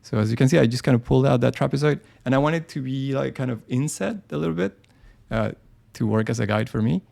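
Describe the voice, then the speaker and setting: A man hosts a live software tutorial, talking it through as he works. His voice is heavily gravelly and warm in tone, with a relaxed, unhurried delivery. He keeps pausing on fillers and restarting his sentences as he thinks aloud. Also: mid-range voice. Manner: casual, thinking aloud with many ums and restarts. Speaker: design software presenter. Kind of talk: live screen-share tutorial.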